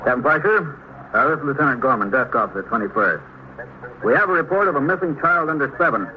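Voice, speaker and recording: A man's voice: mid-range voice, lively intonation, quick pace, lightly acted. An American man playing a methodical, businesslike police desk lieutenant in a 1950s radio drama. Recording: muffled, hissy vintage radio recording.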